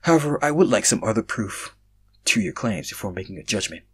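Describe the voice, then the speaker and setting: A man voicing a serious, measured male tactician in a fantasy story reading, formal and guarded. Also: fairly low pitch, very animated, fairly bright tone, husky, full character voice.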